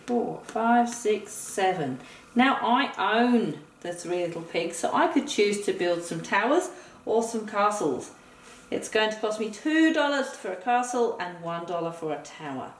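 A woman's voice: mid-range voice, lively intonation, unhurried. Woman in a roomy, distant recording. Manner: explains step by step, counting aloud. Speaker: teacher demonstrating a board game. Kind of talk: instructional game play-through talk, addressing a partner. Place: indoor room, game on a table. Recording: roomy, distant mic with noise.

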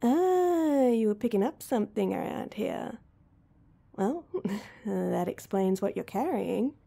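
A woman's voice: medium pitch, lively intonation, slow pace, creaky, warm tone, husky, heavily acted. A woman playing an awkward, heartbroken young woman in an intimate roleplay audio drama, speaking hesitantly and with self-deprecation. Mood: flustered, awkward.

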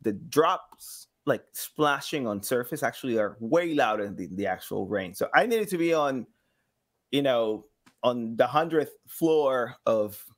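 Expressive male storyteller from South America. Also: medium pitch, measured pace. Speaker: podcast guest, sound designer. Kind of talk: casual interview storytelling. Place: small home room, video call. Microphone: boom-arm microphone with headset.

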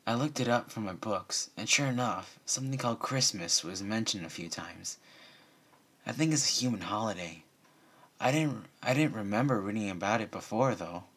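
A man's voice: lowish voice, lively intonation, moderate pace, a bit bright, breathy edge, heavily acted. A man voicing an earnest, insistent young female unicorn pony with a suspicious streak, for a story reading.

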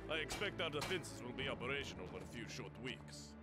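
A dutiful subordinate , played by a man, reports in a formal, measured way in a fantasy game. His voice is mid-pitched, under loud music. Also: big pitch swings, lightly acted. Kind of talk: scripted fantasy game dialogue.

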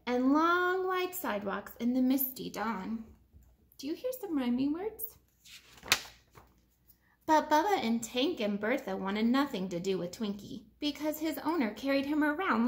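A woman in her thirties reading a picture book aloud to children like a teacher, with expressive, emphatic phrasing. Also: sits high. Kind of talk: children's storybook read-aloud. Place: living room at home, indoors.

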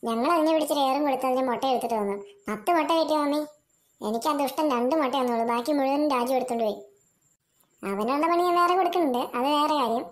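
Woman voicing a whiny little boy, high voice, rapid chatter, pleading tone. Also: lightly acted. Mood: telling tale. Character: young boy, greedy and sulky, easily sorry.

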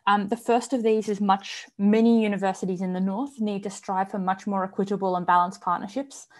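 A woman's voice: medium pitch, steady tone. A woman in her thirties with an Australian accent, speaking as a panelist on an online panel from her home, earnest and fluent. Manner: earnest, fluent, measured with some restarts. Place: home room with bookshelves. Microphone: video call, earphones.

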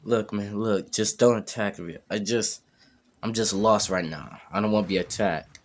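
A man's voice: fairly low pitch, moderate pace, a little hiss or hum, close to an everyday voice. A man voicing a lost, anxious young newcomer in an amateur game roleplay, hesitant and stammering. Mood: confused.

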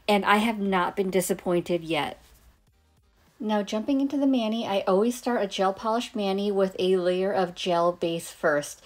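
Hoarse woman, chatty nail voiceover. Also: medium pitch, a little mellow. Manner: chatty, easygoing, thinking aloud. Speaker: nail vlogger. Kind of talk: voiceover for an unboxing and manicure video.

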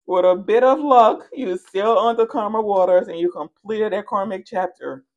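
A woman's voice: mid-range voice, moderate pace, dull audio. Woman giving a casual tarot reading. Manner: playful, animated storytelling with quoted asides and slangy endearments. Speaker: tarot reader and channel host. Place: indoors at a card table.

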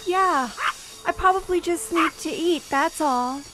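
A woman's voice: extremely high pitch, a little slow, a bit bright, rough recording. An actress playing a nervy, sarcastic young woman on the run, blunt and slangy, in an audio drama. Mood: queasy.

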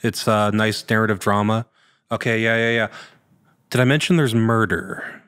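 A man's voice: fairly low pitch, steady tone, moderate pace, a bit bright, smooth. A man in his thirties talking casually as an actor being interviewed, speaking into a podcast microphone. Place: large indoor room with hard floor and open ceiling. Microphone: podcast microphone on a boom arm.

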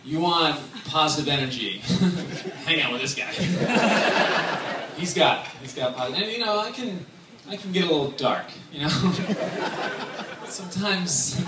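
A man's voice: a little high, lively intonation, unhurried. A man in his thirties giving a joking best man's toast on a handheld mic in a large wedding tent, chatty and laughing between lines. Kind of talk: casual humorous speech to a crowd. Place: large wedding tent with a dance floor, indoors. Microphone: handheld microphone through speakers, filmed from afar. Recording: echoey tent heard from far off, loud crowd and noise. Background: wedding guests laughing and cheering.

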